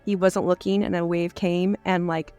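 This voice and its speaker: A woman co-hosting a podcast in bubbly casual conversation, her voice slightly hoarse from illness. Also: lowish voice, little pitch movement, normal speed, mellow, faint music behind. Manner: bubbly, enthusiastic storyteller. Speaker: podcast co-host. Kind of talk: casual chat.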